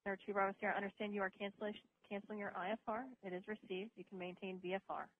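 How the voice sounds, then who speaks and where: A woman air traffic controller speaks quickly over aviation radio. Her instructions follow set procedure, with informal asides mixed in. The sound is narrow and tinny, with some hiss. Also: medium pitch, level tone.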